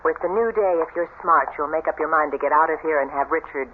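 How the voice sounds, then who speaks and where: An actress playing a breezy, persuasive, worldly sister-in-law in a 1970s radio drama, with a theatrical, coaxing delivery. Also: female voice, low voice, quick pace, narrow, tinny audio, close to an everyday voice. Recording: thin, noisy vintage radio recording.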